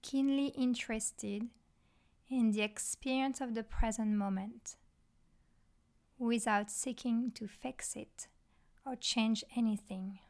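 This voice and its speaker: A woman teaching mindfulness, leading a guided meditation in measured short phrases with pauses between them. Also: a little high, steady tone, a little mellow.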